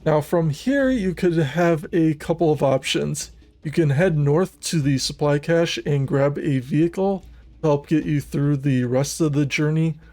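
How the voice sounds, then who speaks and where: A man narrating a scripted video game walkthrough in a measured, methodical, instructional manner. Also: fairly high pitch, warm tone, soft background music.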